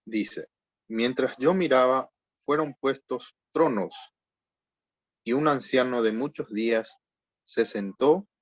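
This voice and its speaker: A man's voice: medium pitch, no room sound, lo-fi sound. A man from Paraguay introducing himself plainly and reading a scripture passage. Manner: plain, unhurried, says "¿verdad?" often.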